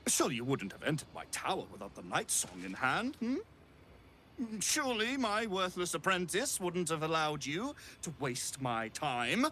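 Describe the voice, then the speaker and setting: An actor playing a haughty, theatrical wizard in a video game, smugly menacing, with a pompous and deliberate delivery. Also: male voice, a little high, very animated, bright, forceful tone, slight background noise. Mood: disdainful, impatient. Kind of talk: video game villain acting.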